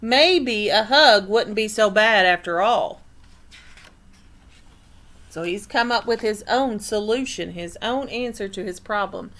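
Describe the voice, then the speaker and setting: A woman's voice: mid-range voice, slow pace, a bit bright. A woman reading a picture book aloud as a teacher, stopping to explain and put questions to the listeners. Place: indoor room.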